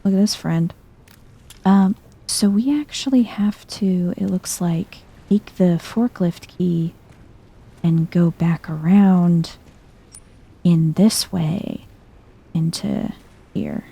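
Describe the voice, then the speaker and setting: A woman streams a game in a soft ASMR style, thinking aloud in a low-key way. Her voice is very dark and relaxed, with a creaky fry at the edges. She takes her time, speaking slowly and gently. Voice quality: soft, hushed, husky. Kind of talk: soft-spoken ASMR gameplay commentary.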